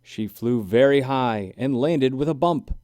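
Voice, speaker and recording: Male storybook reader, expressive and sing-song, unhurried pace, close dry sound. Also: medium pitch, no echo. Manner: animated, deliberate, sing-song rhyming delivery. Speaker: children's book reader. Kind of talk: expressive storybook read-aloud.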